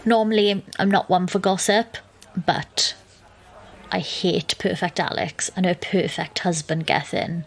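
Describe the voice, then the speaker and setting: A woman's voice: on the low side, a little slow. An actress playing an exasperated, wry young woman, narrating and talking in an audio drama. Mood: gossipy, grudging. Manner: dry, sarcastic.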